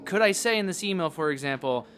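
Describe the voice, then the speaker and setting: An actor playing an easygoing, curious former youth pastor in casual, naturalistic audio drama dialogue. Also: male voice, on the high side, lively intonation, quick pace, a bit bright. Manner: casual, chatty.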